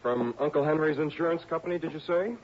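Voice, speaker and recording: An actor playing an earnest, polite young divinity student in a 1960s radio drama, deferential and hesitant. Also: male voice, fairly high pitch, fast talker, close to an everyday voice. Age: young adult. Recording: muffled, faintly noisy old radio recording.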